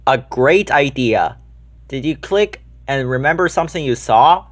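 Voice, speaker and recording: A man's voice: mid-range voice, lively intonation, unhurried, close to an everyday voice. A man voicing a helpful, keen young schoolboy in a children's storybook, with an eager manner. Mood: curious.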